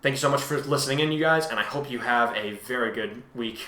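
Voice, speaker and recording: A man's voice: medium pitch, fast talker, sharp tone. A man hosting a casual discussion of his own writing, rambling and often correcting himself as he goes. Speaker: host, fan fiction author and reader. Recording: echoey room.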